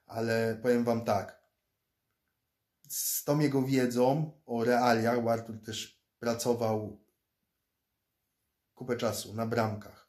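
A man's voice: medium pitch, little pitch movement, slow pace, long pauses, darkish tone. A man in his thirties from Poland, talking casually to a close-up phone camera from a small room indoors, rambling and often repeating words as he restarts. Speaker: fighter and self-defense instructor vlogger. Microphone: phone or laptop camera close up.

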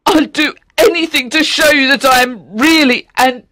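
A man reading a story aloud and voicing a desperate father who pleads in a high, strained voice. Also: moderate pace, sharp tone, no room sound, clean studio sound. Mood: pleading. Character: frantic desperate father pleading for his daughter.

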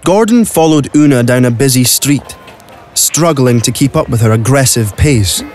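A man's voice: medium pitch, expressive, moderate pace, darkish tone. A man narrating a scripted audio play as a dramatic storyteller. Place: dry recording studio.

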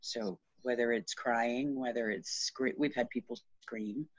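Middle-aged female healer, low register, earnest and conversational, heard over a muffled, dry video call. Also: fairly even intonation, measured pace, no echo. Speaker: interview guest, energy healer. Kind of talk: casual explanatory conversation. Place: small room at home. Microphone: video call, shared device at arm's length.

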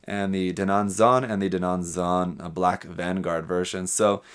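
Young guy w a deep voice doing casual hobby news, chatting thru items with his own takes, close desk mic. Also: fairly even intonation, a little slow, smooth. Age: young adult. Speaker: host of a hobby news show. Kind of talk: casual news rundown with commentary. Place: small indoor hobby workshop room. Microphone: desk microphone with pop filter, close.

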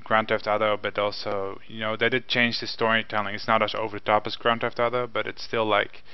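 A young man, a native Norwegian speaker, chats casually about games, rambling and restarting his sentences. His voice sits low and comes across smooth and clear. The headset audio sounds dull and lo-fi, like a webcam call. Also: level tone, fairly quick. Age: young adult. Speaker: co-host. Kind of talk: casual gaming chat. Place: home room with window. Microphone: headset mic.

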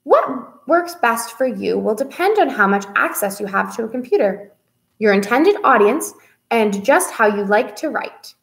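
Young lady doing kids storytime, highish voice, sing-songy n expressive. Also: measured pace, vocal fry. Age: young adult. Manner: expressive, sing-song, child-directed. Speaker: children's librarian hosting a read-aloud. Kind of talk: children's story-time presentation. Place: small indoor room with whiteboard.

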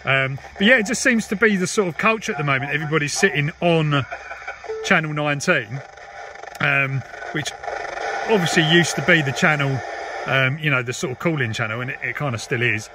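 A man's voice: fairly high pitch, brisk. A man, talking casually as he demonstrates and chats on CB radio. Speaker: vlogger and CB radio hobbyist. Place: inside a parked car. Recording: clear background noise and radio hiss. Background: CB radio static and chatter.

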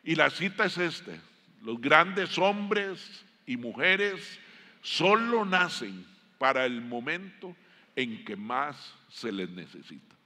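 A middle-aged Costa Rican man preaching a sermon, deliberate and emphatic, pausing often between phrases. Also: a little high, very animated, sharp tone. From Costa Rica. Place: large indoor church auditorium stage. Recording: long hall reverb from stage sound system.

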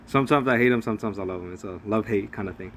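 A man's voice: lowish voice, very fast, slight background noise. A young man rambling through casual, profane play-by-play of a mobile strategy game as a gaming channel host. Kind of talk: casual gameplay commentary.

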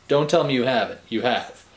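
A man's voice: mid-range voice, lively intonation, normal speed. Man reading bedtime stories in an echoey room. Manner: playful, conversational, theatrical. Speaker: storyteller reading picture books aloud. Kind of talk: bedtime story reading with casual asides. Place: small furnished room at home, indoors. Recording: roomy home recording with clear background hiss.

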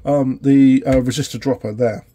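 Man in his forties, hoarse from a cold, dark husky voice, halting workshop explaining. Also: medium pitch, steady tone. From United Kingdom. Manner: halting, filler-heavy explaining. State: has a cold and sore throat. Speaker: electronics repair channel host. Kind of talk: casual workshop tutorial. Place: small indoor electronics workshop.

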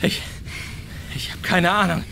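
An actor playing an agitated, defiant man with a secret, speaking haltingly in a German radio crime drama. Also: noisy background, lightly acted. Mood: defiant, angry.

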